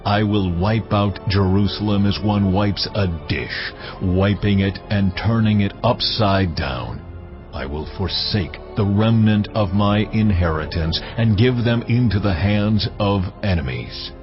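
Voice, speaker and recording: A man acting a slow, deep, wrathful prophet. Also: close to an everyday voice. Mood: solemn wrath. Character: stern wrathful prophet declaring God's judgment. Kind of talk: dramatised scripture reading. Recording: slightly dull audio under loud music.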